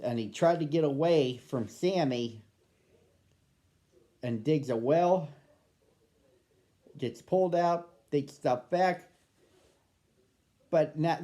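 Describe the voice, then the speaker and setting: A man's voice: fairly high pitch, warm tone. A man introducing a picture book to children, asking them friendly questions before he reads it aloud. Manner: friendly, addresses children directly. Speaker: storybook reader.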